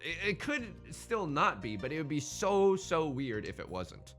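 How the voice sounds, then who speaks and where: A man's voice: a little high, very animated, measured pace, fairly bright tone, faint music behind. A man hosting a gaming let's play in casual, bantering commentary, thinking aloud and often repeating his phrases.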